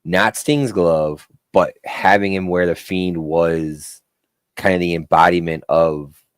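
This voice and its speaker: Male podcast host, deep voice, dry studio sound, rambling casual wrestling talk. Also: steady tone, slow, clear, resonant tone, dry recording. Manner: rambling, filler-heavy, enthusiastic. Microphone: studio mic.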